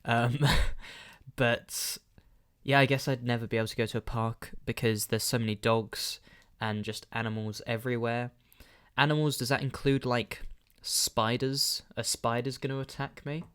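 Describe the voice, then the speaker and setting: A young man with a London accent talks through a solo podcast, wandering from thought to thought and correcting himself as he goes. His voice is smooth and clean, sitting at an ordinary middle pitch. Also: little pitch movement, moderate pace, a bit bright. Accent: southern British, London. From London, England. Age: young adult. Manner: rambling, self-correcting, chatty. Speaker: podcast host.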